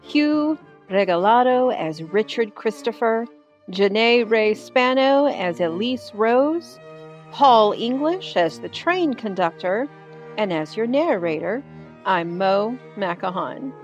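A woman narrator reading scripted radio drama credits in a measured, formal way. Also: fairly high pitch, animated, dull audio. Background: violin music.

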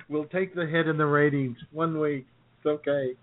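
A man's voice: on the high side, no echo. A man co-hosting a podcast, teasing his partner and laughing often in casual banter. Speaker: podcast co-host. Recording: tinny phone-like sound.

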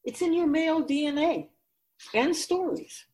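A woman's voice: high-pitched, animated, a little slow, darkish tone. A woman playing a wise, grave otherworldly keeper of souls in a radio drama, speaking in an oracular, instructive and emphatic way.

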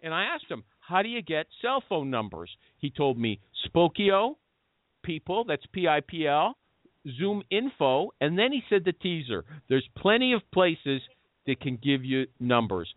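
A man hosting a casual panel chat, brisk and jokey as he steers the talk. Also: fairly high pitch, big pitch swings, no echo. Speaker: podcast host. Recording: tinny phone-line audio.

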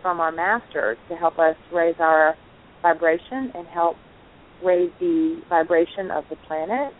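Enthusiastic woman co-host, lowish voice, narrow phone-line sound. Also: a little hiss or hum. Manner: effusive, enthusiastic. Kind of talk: casual online talk show chat.

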